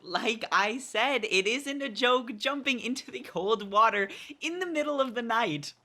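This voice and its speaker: A woman's voice: a little high, expressive, a little slow, bright, forceful tone, full character voice. An actress playing an indignant, exasperated woman rescuer in a radio drama.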